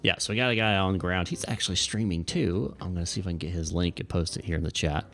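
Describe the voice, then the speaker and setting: Male flight-sim streamer, low voice with vocal fry, laid-back and informal, faint music underneath. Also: steady tone, fast, fairly bright tone. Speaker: livestream host playing air traffic controller. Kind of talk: casual livestream chat with radio phraseology.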